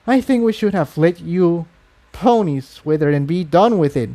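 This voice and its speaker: A man's voice: fairly high pitch, animated, normal speed, dark tone, voice pushed up, natural voice. A man voicing a haughty, outspoken female unicorn who is easily appalled, in a fantasy story. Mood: disgusted.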